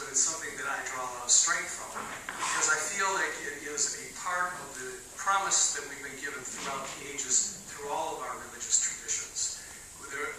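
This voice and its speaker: A soft-spoken man speaking as a panelist at a public meeting, hesitant, with many fillers and restarts. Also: a little high. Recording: echoey hall from far off, noisy.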